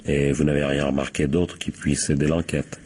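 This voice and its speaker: A man's voice: extremely low pitch, flat delivery, moderate pace, a little mellow. A man playing a dogged, wry American police captain in a French radio crime drama, authoritative and probing. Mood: probing, firm.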